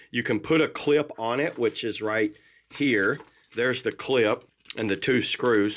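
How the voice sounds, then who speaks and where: A man's voice: lowish voice, a bit bright. A middle-aged man giving a casual hands-on product review in informal, folksy phrasing. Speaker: gear reviewer, host. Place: indoors at a desk.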